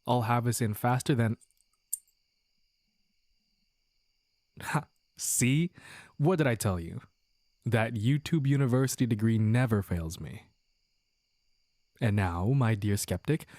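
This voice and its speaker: A man playing a playful, teasing young man in an intimate romantic audio roleplay, with halting banter and mock-dramatic asides. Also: medium pitch, darkish tone, no echo, close to an everyday voice. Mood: smug, playful. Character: playful, teasing young man sneaking out with his childhood sweetheart.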